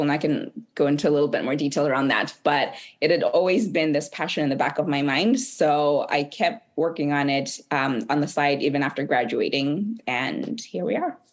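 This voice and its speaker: Woman from Zimbabwe, low pitch, reflective podcast guest. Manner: reflective, measured, with soft laughs. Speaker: author guest. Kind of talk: podcast interview.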